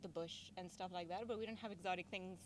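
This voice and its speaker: Brief: young Venezuelan woman, lively storyteller, faint outdoor hum. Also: lowish voice, quick pace. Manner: chatty, laughs often, acts out her own past lines. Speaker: safari guide guest. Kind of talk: casual interview chat. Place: outdoors at a campfire circle in the bush.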